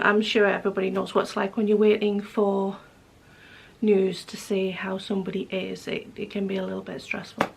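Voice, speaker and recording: A woman vlogging about knitting and sewing at home, her voice hoarse and croaky from a cold, rambling with lots of ums and self-corrections. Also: medium pitch. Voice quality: croaky, husky. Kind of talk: casual vlog chat. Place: home living room with wood stove.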